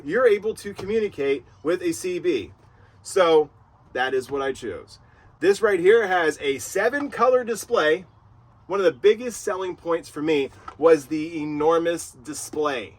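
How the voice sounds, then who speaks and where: A man hosting a casual product review vlog in an enthusiastic way. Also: on the high side. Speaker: video host reviewing gear.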